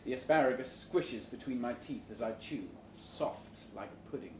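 A man giving a live, measured, deadpan reading of satirical fiction at an indoor venue. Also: medium pitch. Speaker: short story reader. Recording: roomy, noisy live venue recording.

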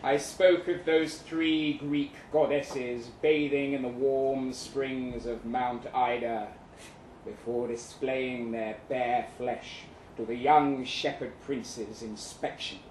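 An actor playing a boastful, lecherous Russian soldier in a florid, theatrical live story reading, savouring each phrase. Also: male voice, mid-range voice, steady tone, slow pace, darkish tone, lightly acted. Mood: seductive, savouring. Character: boastful, lecherous crippled Russian soldier advising lovelorn friend. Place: indoor live reading event in London venue. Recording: roomy live venue, background noise.

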